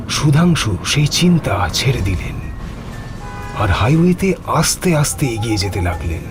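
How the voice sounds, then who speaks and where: Animated male horror story narrator. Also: mid-range voice. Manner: dramatic, suspenseful. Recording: music behind the voice.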